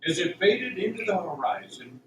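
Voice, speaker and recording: A man's voice: high-pitched, big pitch swings, moderate pace, slight background noise. A man narrating a scripted radio drama with a dramatic storytelling delivery. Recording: roomy, distant mic, faint music.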